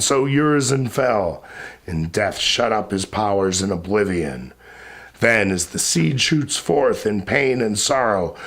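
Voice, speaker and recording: Slow, gravelly man reciting epic poetry. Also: medium pitch. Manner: solemn, declamatory, with sudden swells and hushed drops.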